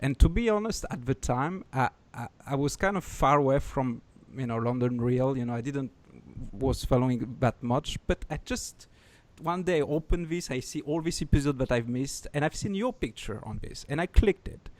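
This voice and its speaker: A French man speaking English with a French accent, hosting a podcast in a rambling, excitable way and swearing freely. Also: mid-range voice, moderate pace, few pauses. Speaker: podcast host. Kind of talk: casual podcast chat.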